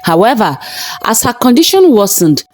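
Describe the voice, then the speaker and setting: A woman narrates a scripted dramatic folktale in a measured, expressive storytelling style. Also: on the high side. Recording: narration over background music. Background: background music.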